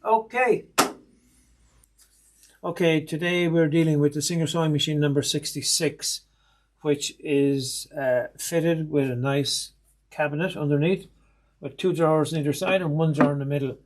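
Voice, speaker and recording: A man's voice: a little high, moderate pace. Irish man giving a hands-on lesson. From Ireland. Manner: methodical, plain-spoken, with frequent um and uh. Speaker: sewing machine technician. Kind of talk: instructional demonstration.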